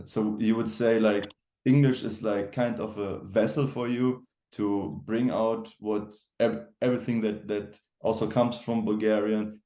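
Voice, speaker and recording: A man's voice: fairly low pitch, creaky, mellow. A man hosting an interview, asking questions in a loose, chatty way.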